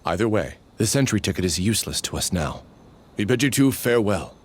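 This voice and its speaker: A man's voice: on the low side, lively intonation, brisk. A man playing an eager junior sword student, theatrical and deferential, in dramatic anime-style video game acting. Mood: resolved.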